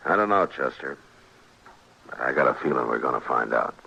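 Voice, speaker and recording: An actor playing a gruff, weary, wary frontier marshal in a 1950s radio western. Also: male voice, very deep voice, expressive, brisk, sharp tone, heavily acted. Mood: dry, watchful. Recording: dull, noisy old radio recording.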